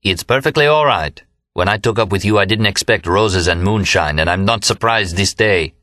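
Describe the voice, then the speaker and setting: A courteous young man played by a male narrator pleads with his friends in an audiobook. He sounds wounded and sincere, formal in his wording, with a clear bright edge to the voice. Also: fairly low pitch, moderate pace, no room sound, clean studio sound. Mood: hurt, resigned.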